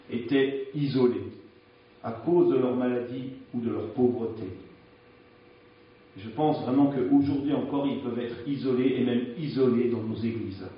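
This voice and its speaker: A man's voice: mid-range voice, a little hiss or hum. A male preacher gives a frank, conversational sermon, stumbling now and then over his words. His voice rings through a very echoey church, heard from a distance on a dull, muffled recording.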